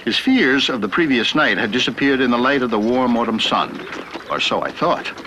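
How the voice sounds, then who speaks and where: An actor playing a jolly, superstitious older country wagoner, folksy, theatrical and full of chuckles, in a television period tall tale. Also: male voice, medium pitch, animated, normal speed, pushed and bright, a little hiss or hum. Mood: narrating. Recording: muffled VHS with music behind.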